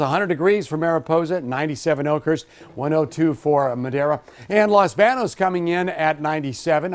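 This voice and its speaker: An American man presenting a live morning TV weather forecast in a conversational, informal manner. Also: on the high side.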